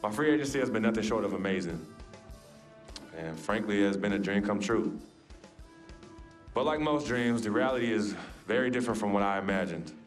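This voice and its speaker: A man's voice: on the low side, expressive, a little fast, pauses often, soft background music, lightly acted. An actor playing an earnest young male basketball star in a video game cutscene, laid-back with his family and formal at a press conference. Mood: formal speech. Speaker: pro basketball player.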